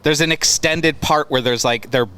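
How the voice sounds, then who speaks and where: A man's voice: a little high, moderate pace, sharp tone, clear, resonant tone. A man co-hosting a podcast in casual, conversational talk. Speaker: podcast host. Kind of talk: casual chat.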